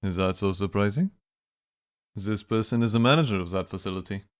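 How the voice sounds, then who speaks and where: A man acts out a grave man of foggy Victorian London. His voice sits very low, and he speaks in a measured, guarded, fatalistic way. It is radio drama, with music playing behind him. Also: clear, resonant tone, natural voice. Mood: polite, composed.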